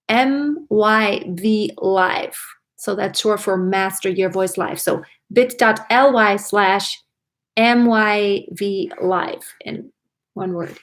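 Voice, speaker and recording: A woman from Germany, a native German speaker and singing teacher, talking casually and enthusiastically to viewers as a livestream host. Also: mid-range voice. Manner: chatty, enthusiastic, addresses viewers directly.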